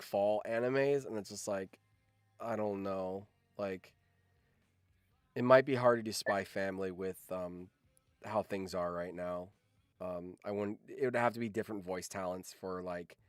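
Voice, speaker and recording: A man's voice: fairly low pitch, no echo, studio quality. A man hosting a livestream in a casual chat, rambling along and swearing freely. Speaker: livestream host.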